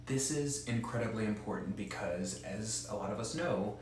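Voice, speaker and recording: A man's voice: on the low side, normal speed, slight background noise. Earnest man who stutters, echoey room. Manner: earnest, direct and emphatic. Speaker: presenter for a stuttering advocacy group. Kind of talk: instructional talk.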